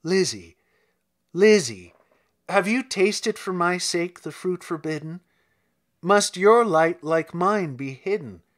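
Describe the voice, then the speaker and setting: Storybook sister, male reader: young woman, distraught, imploring, slow and very expressive. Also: high voice. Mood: anguished, alarmed. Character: anguished, fearful young woman, doting sister in fairy-tale poem.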